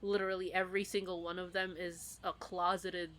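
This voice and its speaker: A woman's voice: lowish voice, level tone, brisk, a bit bright, slight background noise. A woman in a casual, rambling fan discussion, talking as a podcast co-host.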